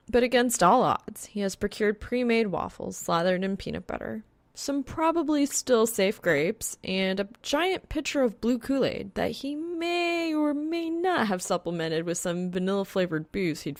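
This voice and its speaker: A woman's voice: mid-range voice, normal speed, no echo. A woman narrating a scripted story as an audiobook, in a wry, informal manner.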